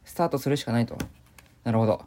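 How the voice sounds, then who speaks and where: Fast-talking, mellow man commentating a game. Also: mid-range voice, slight background noise. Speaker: gamer doing commentary. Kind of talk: improvised video game playthrough commentary. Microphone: voice memo recording.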